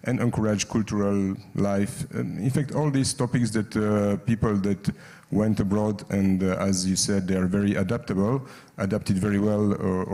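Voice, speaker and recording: A man's voice: lowish voice, warm tone. A man moderating a conference discussion in a hesitant, conversational way, recorded with long hall reverb. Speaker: panel moderator.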